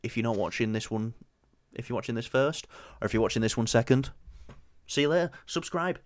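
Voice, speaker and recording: A man's voice: mid-range voice, expressive, a little fast, no echo. A young man with a Yorkshire accent co-hosting a movie review show, chatting casually with lots of fillers and restarts. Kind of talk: casual chat.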